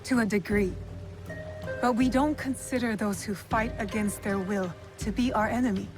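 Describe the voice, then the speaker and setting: A woman's voice: medium pitch, normal speed, music in the background, close to an everyday voice. An actress playing a resolute, principled young female shinobi in a video game, firm and serious. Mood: serious, determined. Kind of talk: video game cutscene acting.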